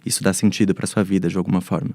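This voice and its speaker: A man's voice: low-pitched, level tone, racing, a little mellow, dry recording, studio quality. A Brazilian man giving reflective, articulate explanations as an expert guest in a panel interview, building his argument step by step.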